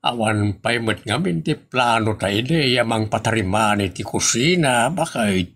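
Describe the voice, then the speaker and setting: A man's voice: lowish voice, animated, a little slow, fairly bright tone, heavily acted. A man playing a grumbling, sceptical husband in an Ilocano comedy audio drama, emphatic and with an Ilocano accent.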